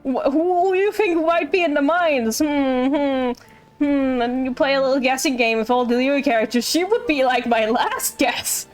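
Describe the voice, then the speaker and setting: High-voiced woman streaming a game. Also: moderate pace. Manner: rambling, repeats phrases playfully. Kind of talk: casual livestream game commentary. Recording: game music behind. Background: game music.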